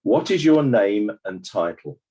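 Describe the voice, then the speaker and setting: An actor playing a formal court examiner, speaking in a measured, deliberate way in a scripted dramatized inquiry. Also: male voice, on the low side, sing-song, mellow, breathy edge, full character voice. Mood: formal, solemn. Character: formal, probing male inquest examiner.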